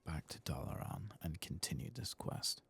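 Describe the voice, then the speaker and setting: A man softly narrating his video game in ASMR style, tired and casual, muttering to himself and drifting into whispers. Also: a bass voice, lively intonation, normal speed, gravelly, husky. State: tired, late at night. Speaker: host of a game playthrough. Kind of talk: soft-spoken ASMR gameplay commentary. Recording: faint background noise.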